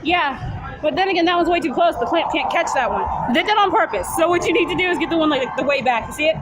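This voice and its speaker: Very high-pitched excitable female arcade chatter. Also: fast. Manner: excitable, coaching friends along. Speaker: vlogger. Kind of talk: casual chat while playing arcade games. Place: busy arcade. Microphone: handheld phone. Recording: loud music and crowd noise behind. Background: loud music, crowd, arcade bustle.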